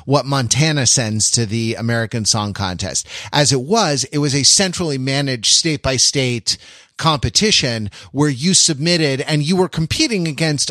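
A man on a podcast explaining in casual analytical discussion, halting and repeating phrases as he reasons. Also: medium pitch, fairly bright tone, no echo, studio quality. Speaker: podcast panelist.

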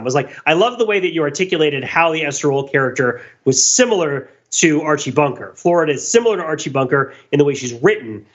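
A man's voice: on the high side, fairly bright tone, highs cut off. A man talking through ideas on a podcast in a casual, analytical way, digressive and emphatic. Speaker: podcast host.

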